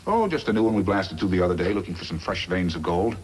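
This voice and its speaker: Scheming mine boss, smug, greedy, fairly deep, highly varied intonation, old western radio serial, noisy recording. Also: male voice, sing-song, fast, breathy edge, heavily acted. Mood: smooth deceit. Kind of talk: 1950s western radio acting.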